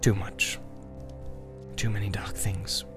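A man voicing a grim, wary, secretive wandering ranger with a deliberate, cautioning and wry manner in an audiobook. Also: lowish voice, music in the background, natural voice. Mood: grim, guarded.

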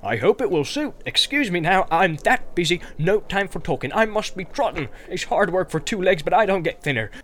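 A man's voice: high voice, sing-song, fairly quick, hardly any pauses, a bit bright, a little hiss or hum, voice pushed up. A man voicing a bustling, talkative, forgetful stout male innkeeper who chatters on in a flustered rush. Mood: hurried. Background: music.

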